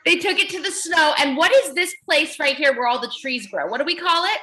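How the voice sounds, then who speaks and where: A woman's voice: sits high, animated, a little fast, pushed and bright. A woman teaching young children in an interactive read-aloud, encouraging them with praise and questions and repeating their answers back.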